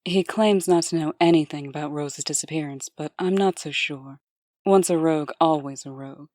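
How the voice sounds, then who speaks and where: A composed deputy played by a woman in a comic dub, dutiful and dryly candid. Her voice is low and formal, measured in delivery and recorded dry. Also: a little mellow, dry recording, lightly acted.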